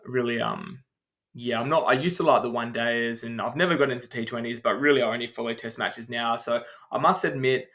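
A man's voice: mid-range voice, fairly quick, a bit bright. A man co-hosting a casual podcast, explaining things at length and running through lists of names with frequent ums. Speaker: podcast co-host.

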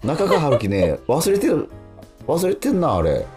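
A man's voice: a little high, huge pitch swings, measured pace, a little mellow, soft background music. A man hosting a radio show in a casual, chatty style.

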